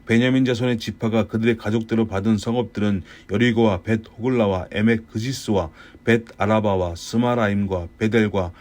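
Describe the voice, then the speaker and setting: A man reading a Bible passage aloud in a steady, measured way, working through long lists of place names. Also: on the low side.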